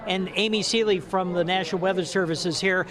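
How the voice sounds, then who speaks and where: A man with a high voice presents a live TV segment in a chatty broadcast style. He is outdoors, with a busy crowd clearly audible around him. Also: steady tone, fairly quick. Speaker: TV weather reporter hosting live segment. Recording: outdoor urban crowd noise.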